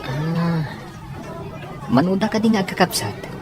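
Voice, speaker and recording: A woman with a Filipino accent acting a concerned, gentle suitor who probes and consoles in a melodramatic audio drama. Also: on the low side, lively intonation, a little slow, faint music behind, a faint crowd. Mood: inquisitive. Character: concerned, gentle-hearted suitor from a business family.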